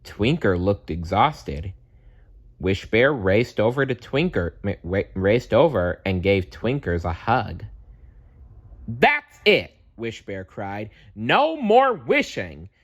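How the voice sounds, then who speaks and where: A man's voice: fairly low pitch, expressive, slow, muffled recording. A man reading a children's storybook aloud as narrator. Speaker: storybook reader.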